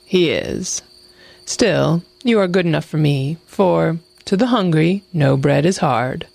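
A hungry storybook wolf, greedy and rude, cutting others off with blunt impatience, as a woman reader plays him. The tone stays low and heavy, close to the reader's own deep voice. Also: slow pace, gravelly, a little mellow, dry recording, exaggerated voice.

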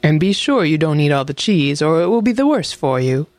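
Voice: medium pitch, big pitch swings, fairly quick, a little mellow, natural voice. Narrator doing a greedy he-wolf in a fairy tale, suspicious and bossy, no echo at all. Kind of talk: animal fairy tale storytelling.

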